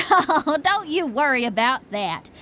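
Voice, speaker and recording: A woman's voice: high voice, sing-song, slow pace, background noise, heavily acted. An actress playing a confident, easygoing young woman musician in a video game, with a folksy, reassuring manner. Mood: relaxed, upbeat. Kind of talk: video game character acting.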